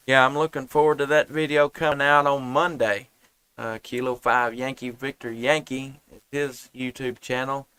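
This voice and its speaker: A man's voice: mid-range voice, sharp tone, clean tone, dry recording. A man, laid-back and folksy, chatting casually as a ham radio operator and live streamer. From United States. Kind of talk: casual amateur radio chat. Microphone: headset and boom microphones.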